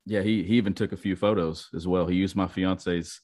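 Male interviewer, lowish voice, loose rambling questions. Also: steady tone, fairly quick, a little mellow. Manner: loose, searching questions with restarts.

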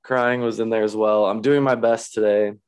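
A man hosting a casual sports chat, his voice raspy and hoarse, rambling and restarting as he talks. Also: on the low side, steady tone, moderate pace, darkish tone. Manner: rambling, self-interrupting, laughs often. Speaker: podcast host.

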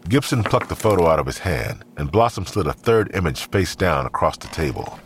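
A man narrating an audio drama in a measured, scripted storytelling style. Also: low-pitched, big pitch swings.

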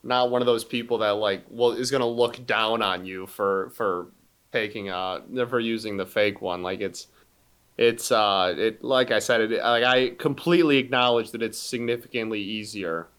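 Young guy on a podcast, keeps restarting, smooth clear voice. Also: fairly low pitch, fairly even intonation, fairly bright tone. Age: 20s. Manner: halting, many restarts and fillers. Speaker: podcast co-host. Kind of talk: casual chat.

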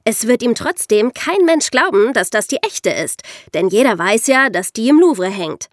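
A woman's voice: a little high, big pitch swings, normal speed, no echo, clean studio sound. A woman co-hosting a podcast, explaining things conversationally and addressing the listeners directly. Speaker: podcast co-host.

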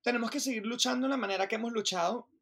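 A woman from Venezuela with a Venezuelan accent, talking as a guest in an interview in an animated way, restarting sentences and checking in with the listener. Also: a little high, rapid-fire, gravelly. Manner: animated, restarts, keeps asking "me entiendes". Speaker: Broadway performer, guest. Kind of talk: interview conversation.

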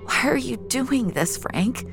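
A woman's voice: a little high, lively intonation, slow, over music, voice pushed up. A woman acting a defiant, wounded fugitive woman in an audio drama. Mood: sad, hurt. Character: defiant, wounded woman on the run, dream-eraser.

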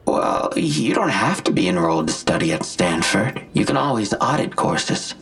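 An actor playing a smug, menacing vigilante villain in an audio drama, articulate and self-righteous, with a gravelly, husky voice and a deliberate, taunting delivery. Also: male voice, on the low side, big pitch swings, a bit bright, exaggerated voice. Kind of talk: full-cast audio drama acting.

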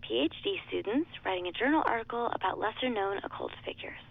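A woman's voice: a little high, animated, fast, gravelly, husky, exaggerated voice. Determined woman on a tinny phone. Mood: brisk, planning. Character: matter-of-fact, determined young woman investigator on the phone. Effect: telephone filter. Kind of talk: naturalistic audio fiction acting. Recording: tinny, dry phone-line sound.

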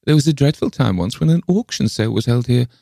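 An eccentric old lady from a storybook, acted out by a man, with a piping voice pitched well up. She is wistful, peevish and possessive about her things, and sounds thin and plaintive, full of sighs. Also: lively intonation, quick pace, creaky, warm tone, no echo, studio quality. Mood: jealous, anxious. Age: older. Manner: plaintive, sighing, wailing. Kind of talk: dramatised storybook reading.

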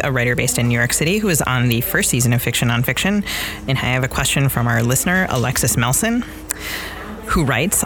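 A woman co-hosting a podcast, asking questions in a conversational style. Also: very deep voice. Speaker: podcast co-host. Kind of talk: conversational interviewing.

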